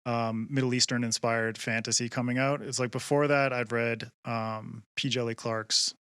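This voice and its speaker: A man's voice: mid-range voice, flat delivery, moderate pace, a bit bright, clear, resonant tone, dry recording. A male podcast co-host with a mid-range voice runs through plugs and questions a guest. He is friendly and keen, with a few restarts, and his voice sounds close and dry. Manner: friendly, enthusiastic, some stammering restarts. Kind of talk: podcast host reading intro, then interviewing. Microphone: video call.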